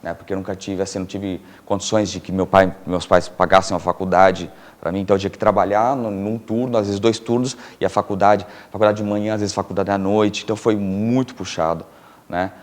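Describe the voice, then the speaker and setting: Animated middle-aged Brazilian man, low voice. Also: fairly quick. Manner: animated, laughs often, many fillers and restarts. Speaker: interview guest, filmmaker and producer. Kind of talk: casual storytelling in a TV interview.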